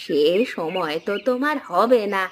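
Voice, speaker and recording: A woman voicing a kindly, humble elderly mother and market seller in a cartoon, with a gentle, patient, drawn-out way of talking. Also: mid-range voice, lively intonation, slight background noise. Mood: tender. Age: older. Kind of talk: Bengali cartoon voice acting.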